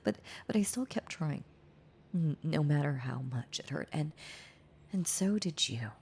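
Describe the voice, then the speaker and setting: A woman playing a heartbroken, tender former girlfriend in an intimate audio roleplay, confessing haltingly in a breathy, husky voice that slips into whispers. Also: sits low, expressive, darkish tone, slight background noise. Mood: pained, wistful. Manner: halting, confessional, repeating words, breaking into whispers.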